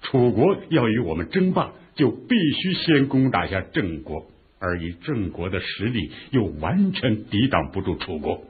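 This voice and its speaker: Wise scheming ancient minister, real raspy low voice, measured, radio drama, lo-fi audio. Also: male voice, sing-song, heavily acted. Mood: firm. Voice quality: husky. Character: shrewd, measured chief minister advising his lord. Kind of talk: historical radio drama acting. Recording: dull, lo-fi radio drama recording.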